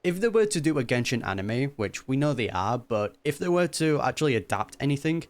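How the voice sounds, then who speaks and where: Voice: medium pitch, sing-song, a little fast. A streamer giving casual, musing, chatty commentary while reacting to a video game.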